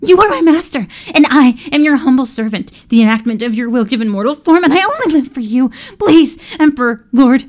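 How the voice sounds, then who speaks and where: A woman voicing an eager, fearful young male servant who cries out and begs in a high, strained voice. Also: lively intonation, a little fast, hardly any pauses, darkish tone. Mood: pleading. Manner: high-pitched pleading and crying out.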